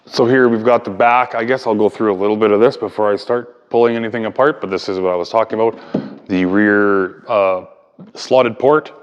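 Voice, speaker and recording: Male hobbyist host, low clean voice, informal hands-on explainer delivery, thinking aloud, reverberant room sound. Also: fairly even intonation, a little fast, smooth, muffled recording. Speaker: hobbyist video host and speaker restorer. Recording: echoey room with long reverb.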